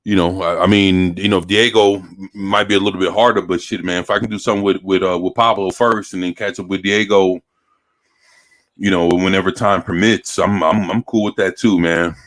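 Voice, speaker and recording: An American man talking casually in streetwise, slangy speech with swearing and halting, repeated phrases. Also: deep voice, fairly even intonation, fairly bright tone. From United States. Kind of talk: casual chat.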